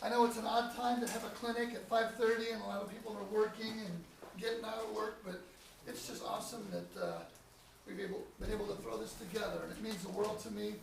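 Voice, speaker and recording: Raspy middle-aged guy, gravelly, highish voice, echoey store. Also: quick pace, noisy background. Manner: enthusiastic, rambling. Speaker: drummer giving a clinic. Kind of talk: casual talk to a clinic audience. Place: music store. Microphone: handheld microphone. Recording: echoey room.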